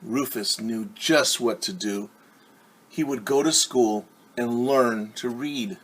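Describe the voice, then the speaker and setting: A man who is a teacher reading a picture book aloud to young children, pausing to explain things simply. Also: mid-range voice, fairly bright tone, a little hiss or hum, rough recording. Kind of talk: children's storytime read-aloud with asides.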